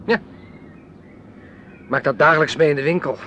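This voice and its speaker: An actor playing a grumbling, old-fashioned middle-aged husband in a radio drama, with a complaining, sardonic, dismissive manner. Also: male voice, fairly high pitch, moderate pace, muffled recording, a little hiss or hum, natural voice. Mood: nagging.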